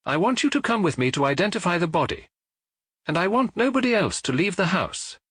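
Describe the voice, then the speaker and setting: A man's voice: high-pitched, big pitch swings, brisk, fairly bright tone, husky, no echo, clean studio sound, voice pushed up, heavily acted. A man voicing a curt, authoritative small police inspector in a detective audiobook. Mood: commanding. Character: brisk, commanding small male police inspector.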